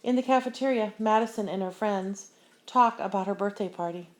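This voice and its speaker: A woman reading a children's picture book aloud with expressive, deliberate storyteller phrasing. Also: medium pitch, slight background noise. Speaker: story reader.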